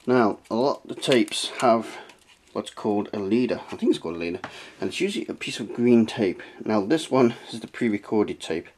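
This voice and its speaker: A man's voice: on the low side, animated, brisk, a little mellow, a little hiss or hum. A British man talking informally and chattily through a casual hands-on review and tutorial as the host. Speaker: host of a retro tech review channel. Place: small cluttered indoor room at a desk.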